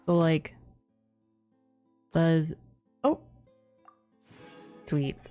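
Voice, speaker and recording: A woman's voice: low voice, extremely slow, dark tone. A young woman giving casual live commentary as a game streamer, musing in an informal, rambling way. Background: faint game music.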